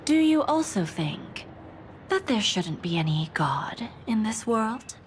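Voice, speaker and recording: A woman's voice: medium pitch, very animated, takes it slowly, a little hiss or hum. A woman voicing a poised, shrewd and inquisitive woman in a video game, with a composed, probing manner. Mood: intrigued, probing. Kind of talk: dramatic video game voice acting.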